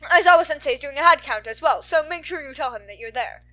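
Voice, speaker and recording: Earnest, rule-abiding teen boy performed by a woman, story character, raised pitch, forceful, formal and polite. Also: lively intonation, fast. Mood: urgent, apologetic. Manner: loud, urgent, polite and formal.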